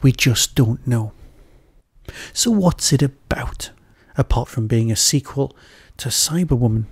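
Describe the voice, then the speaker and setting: A man's voice: mid-range voice, no echo. A man hosting a podcast, delivering a review as a monologue and often answering his own rhetorical questions.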